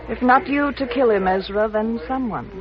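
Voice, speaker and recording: A woman's voice: on the high side, normal speed, crowd noise, natural voice. An actress playing a gracious but coldly scheming married lady in a 1950s radio crime drama. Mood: coolly persuasive. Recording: noisy muffled 1950s radio recording.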